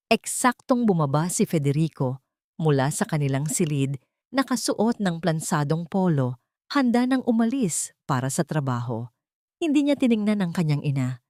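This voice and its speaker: A woman's voice: low voice, very animated, normal speed, a little mellow, dry recording, clean studio sound. A woman storyteller reading scripted, dramatic narration for an audio story.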